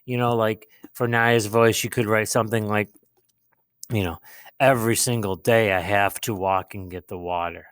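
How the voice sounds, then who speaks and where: A man teaching a lesson, explaining a writing task step by step, with pauses and repeated phrases. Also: on the low side, fairly even intonation, a bit bright, clear, resonant tone. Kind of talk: instructional lesson, modelling a writing task aloud.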